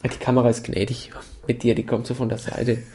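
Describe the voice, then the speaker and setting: A man's voice: mid-range voice, completely flat delivery, fast, dark tone, slight background noise. A man with a slight cold, co-hosting a casual chat and teasing his partner. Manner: teasing, joking, laughs often. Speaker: podcast co-host. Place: indoor room with a camera.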